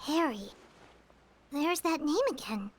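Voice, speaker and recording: A woman's voice: sits high, animated, slow pace. A woman voicing a tiny, childlike, curious and earnest companion creature in a video game. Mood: puzzled, uneasy. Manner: speaks of self in third person, cutesy. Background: game music.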